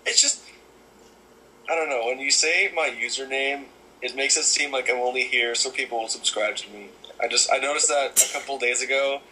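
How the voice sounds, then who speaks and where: A man's voice: mid-range voice, steady tone, fairly quick, sharp tone, slight background noise, poor audio. A young man from New Zealand bantering casually, with a teasing, joking manner. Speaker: friend of the vlogger joining the chat.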